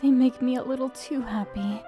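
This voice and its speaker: Woman as high-voiced, shy, anxious schoolgirl. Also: steady tone, moderate pace, lo-fi sound, soft background music, close to an everyday voice. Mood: flustered, happy. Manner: hesitant, stammering, polite. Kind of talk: anime visual novel fan dub acting.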